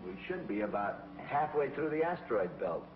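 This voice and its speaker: A man playing a steady rocket-pilot hero. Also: medium pitch, moderate pace, background noise, natural voice. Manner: calm, matter-of-fact. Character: heroic male rocket pilot, steady and confident. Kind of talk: 1950s TV sci-fi acting. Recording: dull old 1950s TV soundtrack, music behind.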